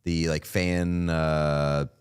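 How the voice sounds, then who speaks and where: A man in his mid thirties, co-hosting a casual sports watchalong, telling stories and reacting excitedly to the game with some swearing. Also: very deep voice, extremely slow, clear, resonant tone. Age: 30s. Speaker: podcast co-host.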